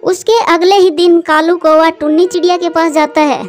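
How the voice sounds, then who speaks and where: High-pitched woman narrating a children's story. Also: steady tone, moderate pace.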